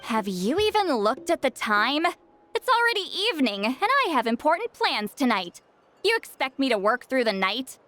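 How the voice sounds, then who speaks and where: Proud prickly game shaman, youthful, high, very animated, brusque, easily flustered. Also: normal speed, no room sound, soft background music. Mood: indignant. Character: proud, prickly, reclusive female great shaman, youthful-sounding, secretly geeky. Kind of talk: video game voice acting.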